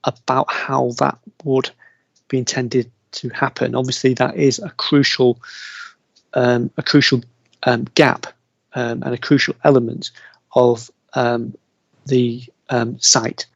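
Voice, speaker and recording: Gravelly British man chairing a hearing. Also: medium pitch, flat delivery, slow pace, no room sound, highs cut off. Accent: British English. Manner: hesitant, many fillers and repeats. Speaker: planning inspector chairing hearing. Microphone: video call.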